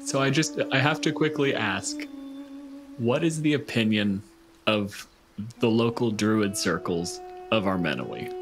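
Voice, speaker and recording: A man's voice: on the low side, animated, unhurried, music in the background. A man casually hosting and narrating a tabletop game as game master, irreverent and free with swearing.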